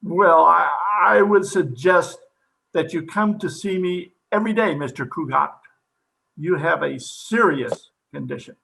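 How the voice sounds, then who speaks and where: A man playing a pompous, excitable psychiatrist in an old-time radio comedy, speaking theatrically. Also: a little high, unhurried, vocal fry, a little hiss or hum, heavily acted, low-quality recording. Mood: grave.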